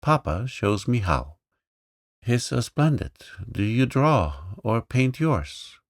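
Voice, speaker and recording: A man's voice: medium pitch, big pitch swings, takes it slowly, no room sound, studio quality, pitched above the performer's own voice. A man doing an imperious little girl. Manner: commanding, frank and eager. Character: bossy, impetuous little rich girl.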